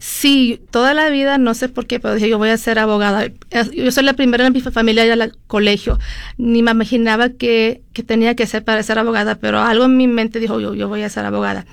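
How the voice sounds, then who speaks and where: A middle-aged woman from California speaks in a fairly high voice, close and dry on the mic. She tells her story in a chatty, conversational way, with lots of fillers and quick switches into English. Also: fairly even intonation, vocal fry, clear, resonant tone, dry recording. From California, USA. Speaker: interview guest, lawyer.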